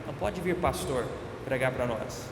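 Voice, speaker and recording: A man's voice: mid-range voice, animated, normal speed, gravelly. A man with an American accent, the host pastor, introducing a guest preacher in a friendly, joking way. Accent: American-accented Portuguese. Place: church hall. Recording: echoey hall with reverb and background noise.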